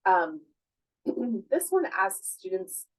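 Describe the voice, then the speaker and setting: A woman giving an academic conference presentation, hoarse from a cold, talking in a measured way with frequent fillers and questions to the audience. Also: medium pitch, very animated, poor audio.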